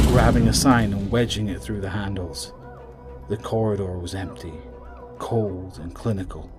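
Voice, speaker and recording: A man narrating a scripted horror story in a measured, suspenseful way, trailing off at the ends of phrases. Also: fairly low pitch.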